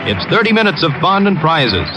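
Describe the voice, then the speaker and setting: A man announces in vintage radio style. His voice is a bit high and very lively, with music clearly behind it. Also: a little fast, rough recording. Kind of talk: scripted old-time radio show announcing.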